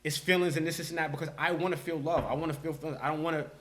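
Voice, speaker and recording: Fast-talking man, emphatic and slangy. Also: on the high side, steady tone, a bit bright. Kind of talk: casual, candid podcast conversation.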